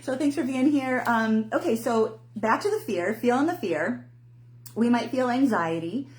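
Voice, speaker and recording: Lively woman with an expressive voice. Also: fairly high pitch, unhurried, poor audio. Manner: animated, often laughs, acts out inner voices. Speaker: host, intuition coach. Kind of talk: casual live-stream talk to viewers.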